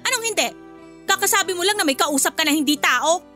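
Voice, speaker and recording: Shrill, bossy Filipina household tyrant. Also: female voice, lively intonation, quick pace, bright, forceful tone, exaggerated voice. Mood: irritated, threatening. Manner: scolding, haughty, threatening. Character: bossy, hot-tempered, spiteful woman of the household. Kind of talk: Filipino radio drama acting. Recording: background music under voice.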